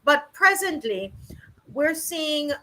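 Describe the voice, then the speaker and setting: A middle-aged woman hosts and introduces guests in a loose, chatty way, filling pauses with plenty of ums and restarts. Her voice sits high, with a bright edge. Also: big pitch swings, rough recording. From Barbados. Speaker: host of a virtual rally. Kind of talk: casual hosting and introductions.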